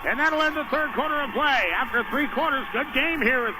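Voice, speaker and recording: A man doing live radio play-by-play of a basketball game in clipped phrases, rising to excited shouts on big plays. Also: very high voice, brisk, over loud music. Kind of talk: live radio sports commentary. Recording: noisy radio broadcast from a gym.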